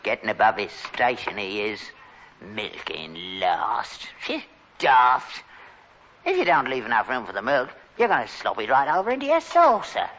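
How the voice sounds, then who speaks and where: A man's voice: medium pitch, big pitch swings, moderate pace. A man playing a stubborn, grumbling old Cockney father, an elderly rag-and-bone man in a sitcom, curt and obstinate. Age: older. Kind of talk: British sitcom acting. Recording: dull, slightly noisy old sitcom recording.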